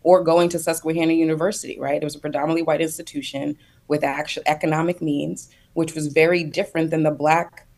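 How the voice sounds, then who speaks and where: A woman's voice: sits low, level tone, quick pace, darkish tone. A woman speaking as a panelist on a video call, thoughtful and reflective, building her points in loose phrases. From United States. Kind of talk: panel discussion.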